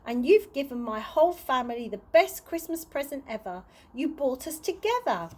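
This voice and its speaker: A woman voicing a kindly, wistful old male tramp from a children's storybook, earnest and gently encouraging. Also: high-pitched, very animated, moderate pace. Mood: wistful, tender.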